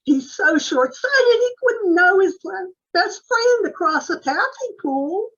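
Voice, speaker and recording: A woman's voice: very high-pitched, animated, slow, a bit bright, highs cut off, exaggerated voice. A woman playing a cheerful, love-struck, naive housemaid in an old-time radio comedy, earnestly muddling her words with a drawl. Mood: earnest, smitten.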